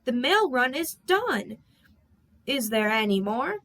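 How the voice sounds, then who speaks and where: Lady as an eager show-off storybook helicopter guy, high chirpy voice. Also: big pitch swings, takes it slowly, fairly bright tone, full character voice. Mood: breezy. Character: breezy, boastful, eager male helicopter.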